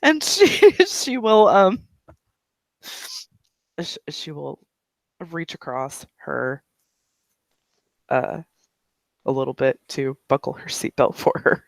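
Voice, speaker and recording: A game master narrating a tabletop roleplay scene, rambling with many fillers and pauses. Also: medium pitch, huge pitch swings, gravelly, no room sound.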